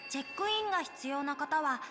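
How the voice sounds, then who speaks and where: A woman's voice: high-pitched, lively intonation, a little fast, sharp tone, soft background music. A woman voicing a polite, eager young hotel bellboy in a Japanese video game, speaking courteously. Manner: courteous, formal service speech.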